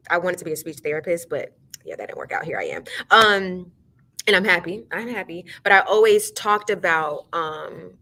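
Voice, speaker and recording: A woman's voice: fairly low pitch, bright, forceful tone. A young woman from New York, a student guest, answering in an enthusiastic, chatty storytelling way. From New York, USA. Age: young adult. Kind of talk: casual interview answers.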